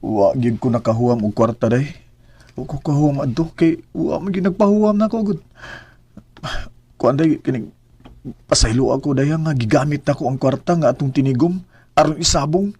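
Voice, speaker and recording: An earnest husband and father in a homemade radio drama, speaking with a Cebuano accent, as a man acts him out. His voice is husky and sits slightly high. He keeps turning to his wife with gentle endearments. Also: normal speed. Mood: pleading. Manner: earnest, says "day" often. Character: struggling husband and father, Jemar. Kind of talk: amateur radio drama acting.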